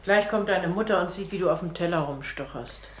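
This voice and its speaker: An actress playing a caring, long-suffering housekeeper in a German radio play, homely and gently coaxing. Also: female voice, fairly low pitch, brisk, close to an everyday voice. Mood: coaxing, concerned. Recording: echoey room with background noise.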